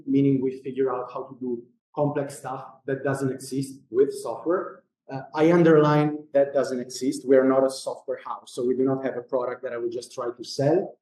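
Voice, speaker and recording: A man's voice: mid-range voice, warm tone, reverberant, rough recording. A man with a Genoese Italian accent giving a casual, joking company presentation at a lecture, with many fillers and restarts. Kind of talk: informal conference presentation.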